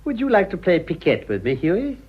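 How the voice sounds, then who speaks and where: Dry posh older english gentleman, mock-polite, really swoopy voice, muffled radio drama. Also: high voice, wildly swooping pitch, brisk, slightly rough, full character voice. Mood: teasing. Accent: upper-class English. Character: suave, teasing, ironic older English gentleman. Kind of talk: stage comedy radio acting.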